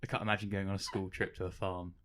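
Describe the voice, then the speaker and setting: Low-voiced, chatty English man. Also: flat delivery, quick pace, a bit bright. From rural England. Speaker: podcast host. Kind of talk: casual conversational interview.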